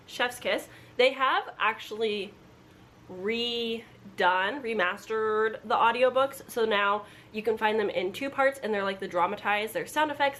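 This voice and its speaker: A woman's voice: medium pitch, a bit bright. A woman chatting casually to camera as a book vlogger, informal and rambling, with frequent asides. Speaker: book vlogger announcing a readalong. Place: at home indoors. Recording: background room noise, household appliance.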